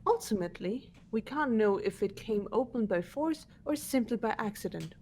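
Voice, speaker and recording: A woman playing a composed, analytical student detective, explaining in a measured way for a fan-voiced game. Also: on the high side, lively intonation, darkish tone, slight background noise. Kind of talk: fan voice acting of a video game script.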